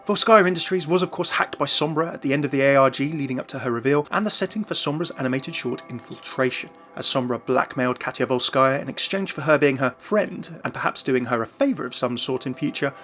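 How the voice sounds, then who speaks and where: A man's voice: medium pitch, fast talker, hardly any pauses, fairly bright tone, faint music behind. A man narrating scripted commentary for an online video.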